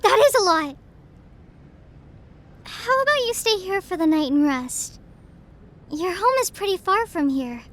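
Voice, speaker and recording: A woman playing an emotional, self-doubting young best friend in a roleplay audio drama, speaking in a soft, halting, vulnerable way. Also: very high voice. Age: young adult.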